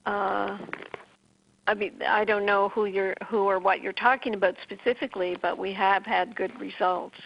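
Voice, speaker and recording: A woman from Newfoundland, Canada, hosting a call-in radio show and giving brisk advice to a caller. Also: medium pitch, steady tone, gravelly. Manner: brisk, advising, many restarts. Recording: dull lo-fi radio sound.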